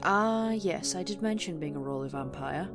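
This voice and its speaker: Over plucked guitar music, a woman voices an aristocratic vampire mistress in an audio roleplay. She is imperious and formal, measuring out her words in a fairly low voice. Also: animated, normal speed. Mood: proud, instructive. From England. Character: aristocratic centuries-old female vampire, imperious, young-looking. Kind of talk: audio roleplay monologue to the listener. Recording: music under the voice.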